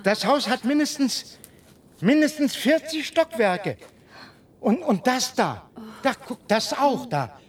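An actor playing a nervous stranded space traveller in a radio play, agitated, stammering and repeating words. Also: male voice, sing-song, slow, husky, heavily acted. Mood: agitated denial. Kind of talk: German radio play acting. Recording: faint noise and low rumble.

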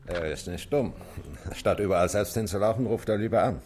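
An actor playing a polite, understated, obliging English hotel aide in a German radio drama. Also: male voice, on the low side, a little fast, a little mellow, slight background noise, close to an everyday voice. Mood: discreet, obliging.

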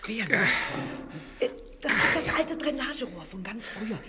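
An actress playing an anxious, devoted older wife, earnest and imploring, in a radio crime drama. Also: female voice, a little high, expressive, normal speed, noisy background, lightly acted. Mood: secretive, helpful. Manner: imploring, earnest, often hushed.

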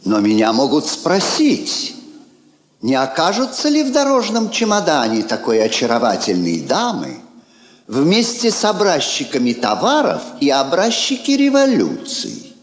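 A man's voice: fairly high pitch, huge pitch swings. Haughty king, wildly swooping intonation. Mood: suave irony. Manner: pompous, theatrical, deliberate, wry. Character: haughty, ironic, courtly king receiving a diplomat. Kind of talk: classic Russian stage radio drama acting. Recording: echoey theatre-like hall, old recording.

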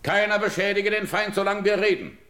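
A man's voice: high-pitched, brisk, pushed and bright. An actor playing a proud, stern, ageing king who holds back his anger, in weighty declaimed classical verse for radio. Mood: stern, commanding.

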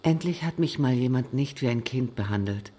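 A woman's voice: extremely low pitch, expressive, moderate pace, warm tone, breathy edge, exaggerated voice. An actress playing a tender mother voicing her letter in a radio drama.

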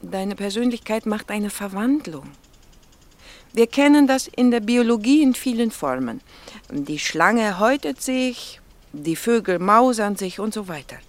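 An actress playing a gentle, earnest young female biologist with a Slovak accent, speaking softly and coaxingly in a radio drama. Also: medium pitch, animated, measured pace, natural voice. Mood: encouraging, earnest. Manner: soft, coaxing, breaks into whispers. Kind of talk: naturalistic radio drama dialogue.

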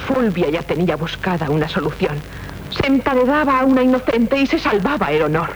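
A woman's voice: mid-range voice, sing-song, fairly quick, a little hiss or hum, lightly acted, poor audio. An actress with a Castilian accent playing a proud, bitter young noblewoman in a 1970s radio drama, declaiming solemnly and theatrically. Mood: bitter irony. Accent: Castilian Spanish.

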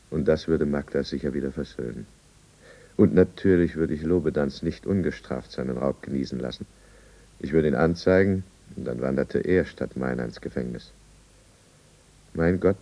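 A man's voice: extremely low pitch, moderate pace, mellow. An actor playing a desperate, besotted drunkard businessman in a 1950s German radio drama. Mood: bleak, remorseful. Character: desperate drunkard businessman, besotted and blustering, later remorseful narrator.

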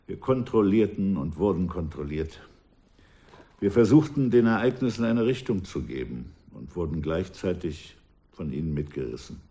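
An older man playing a former revolutionary in a radio drama, recalling his past in a measured, wistful way that often drops to a hush. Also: sits low, sing-song. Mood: reflective. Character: aging male ex-revolutionary, reflective and proud. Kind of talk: radio drama acting, reflective narration.

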